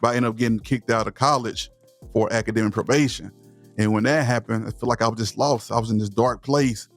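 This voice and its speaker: A man's voice: lowish voice, fairly even intonation, brisk, soft background music. A man in his thirties from East Texas with a Southern accent, speaking with conviction and passion as a podcast guest. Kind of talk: podcast interview.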